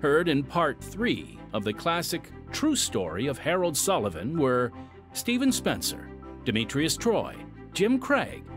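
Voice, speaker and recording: A man hosting a podcast in a scripted, radio-style announcing delivery. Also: on the high side. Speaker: podcast host.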